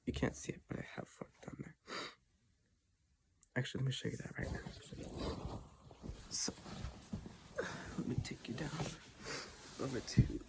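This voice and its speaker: A teenager talking casually in a personal vlog from their bedroom, halting with restarts and often dropping to a half-whisper. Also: medium pitch, fairly even intonation, warm tone, low-quality recording. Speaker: vlogger. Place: own bedroom, indoors.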